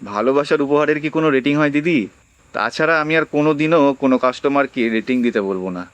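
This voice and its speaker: A humble young delivery boy voiced by a man for an audio story. He sounds earnest and deferential, polite to the point of shyness. His mid-pitched voice is recorded close and dry. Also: a little fast, no room sound, muffled recording, natural voice. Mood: polite, affectionate. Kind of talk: audio horror story acting.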